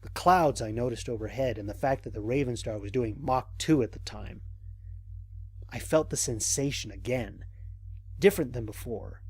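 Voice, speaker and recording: Man acting as space explorer, audio drama mission log, cautious, reflective, measured, slightly husky, dry recording. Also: mid-range voice, no room sound, lightly acted. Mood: reflective, puzzled. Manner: measured, reflective, formal diction with deliberate pauses. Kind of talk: scripted audio drama monologue.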